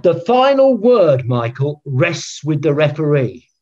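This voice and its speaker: A pompous, eccentric older football referee in a comedy. His delivery is theatrical and self-important, with a very animated pitch. The sound is dull and lo-fi, like a video call. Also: measured pace. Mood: grandly self-important. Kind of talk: filmed comedy acting. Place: room at home, on a video call.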